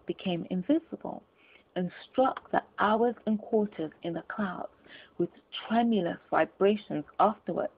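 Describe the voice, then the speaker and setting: A woman's voice: mid-range voice, creaky. A woman reading a classic storybook aloud as scripted narration. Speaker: audiobook narrator. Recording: muffled phone-like narrow sound.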